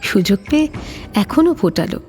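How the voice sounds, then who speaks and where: A woman's voice: medium pitch, sing-song, normal speed, a little mellow, big-room sound, soft background music. A woman narrating a scripted story dramatically, as presenter of an audio drama.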